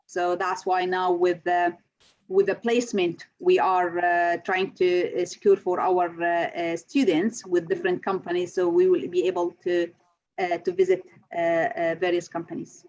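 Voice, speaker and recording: A woman's voice: on the low side, darkish tone. Woman from syria, hesitant, lots of fillers, engineering lecturer, slightly dull recording. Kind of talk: interview answers.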